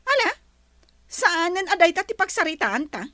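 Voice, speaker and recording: A woman doing a shrill bossy rich lady. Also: sing-song, moderate pace, pushed and bright, dry recording, studio quality, full character voice. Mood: teasing. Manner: commanding, questioning. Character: bossy wealthy female resort owner. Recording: noisy background.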